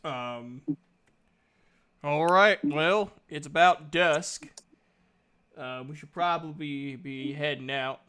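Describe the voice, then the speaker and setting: A man's voice: on the high side, slow, pauses often, a bit bright, clear, resonant tone. A man chatting casually while taking part in an online tabletop roleplaying game.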